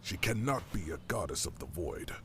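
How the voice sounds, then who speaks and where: A man's voice: low-pitched, lively intonation, normal speed, a bit bright, soft background music. A man playing an anxious, cautious god in an audio drama, earnest and protective of his brother. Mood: worried, cautious.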